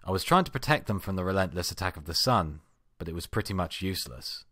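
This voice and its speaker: A man with a deep voice reads a first-person tale as an amateur narrator. His delivery is expressive and theatrical, acting out the exasperation in the text. The sound is dry, with very little room in it. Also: fast, no echo. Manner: expressive, acts out exasperated outbursts. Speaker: amateur fan fiction reader. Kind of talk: dramatic first-person story reading.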